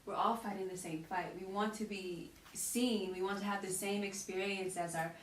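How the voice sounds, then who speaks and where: A woman's voice: mid-range voice, steady tone, moderate pace. A woman from the United States, a guest and beauty brand founder, speaking earnestly and reflectively from her own experience. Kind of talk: casual conversational interview. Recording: roomy echo, distant mic, faint hiss.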